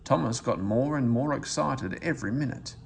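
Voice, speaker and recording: A man reading a children's storybook aloud as an expressive narrator. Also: mid-range voice, moderate pace, vocal fry, slight background noise. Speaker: story reader.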